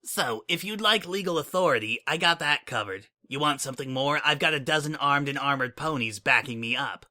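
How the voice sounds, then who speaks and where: Brief: a man doing a cocky mare secret agent for a storybook reading, raised bright voice, breezy and mocking. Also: fairly high pitch, fairly quick. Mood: smug. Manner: flippant, casual and mocking. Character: brash, irreverent mare spy, smug and flippant. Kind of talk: fan dramatic audiobook reading.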